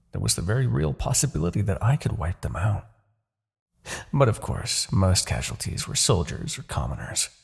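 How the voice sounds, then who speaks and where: A man playing a smug, seductive, manipulative demon king in a fantasy audio roleplay, with a gravelly, husky voice and a taunting, theatrical, condescending delivery. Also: on the low side, darkish tone, exaggerated voice. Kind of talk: fantasy ASMR roleplay acting.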